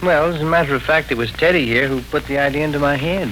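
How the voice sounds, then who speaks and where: Laconic, easygoing uncle played by an actor. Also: male voice, on the high side, fast talker, natural voice. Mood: casual, probing. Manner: laid-back, laconic, colloquial. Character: easygoing, teasing uncle and grown son. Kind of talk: 1940s radio serial acting. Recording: noisy 1940s radio recording.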